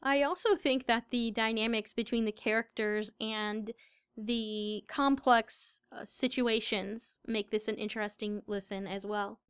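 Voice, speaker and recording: A woman's voice: on the high side, fairly even intonation, unhurried, clear, resonant tone, dry recording, clean studio sound. A very young-sounding woman with an American accent, answering interview questions as a voice actor. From Southern United States.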